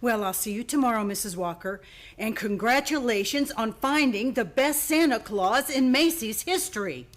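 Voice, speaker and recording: A woman acts an admiring, chatty publicity lady in an old-time radio play. Her voice sits fairly high, with an effusive delivery. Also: lively intonation, moderate pace, close to an everyday voice. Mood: gushing. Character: gushing, admiring department store publicity woman.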